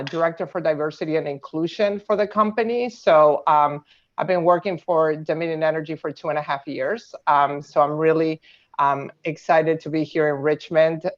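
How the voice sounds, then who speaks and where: A woman's voice: low voice, highs cut off. A woman from Argentina introducing herself to a board over a video call, with many "um" fillers. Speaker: new board member.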